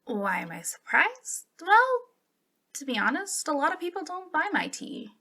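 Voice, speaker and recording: A young woman playing a friendly, eager and slightly awkward witch shopkeeper in a softly spoken ASMR roleplay, with a hesitant, giggly way of talking. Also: high-pitched, sing-song, normal speed, exaggerated voice.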